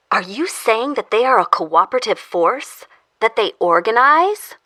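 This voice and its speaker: A woman playing a sharp, stern government interrogator. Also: on the high side, very animated, measured pace, heavily acted. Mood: curt, probing. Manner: formal, curt, insistent. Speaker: voice actor. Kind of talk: audio fiction drama dialogue.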